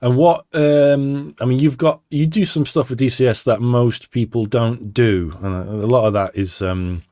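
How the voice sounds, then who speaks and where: A man's voice: medium pitch, normal speed, few pauses, dark tone, dry recording, clean studio sound. A man hosting a casual podcast, chatty and self-deprecating, a little stuffy from a cold. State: slightly stuffy nose from a cold. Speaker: podcast host. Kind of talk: casual chat.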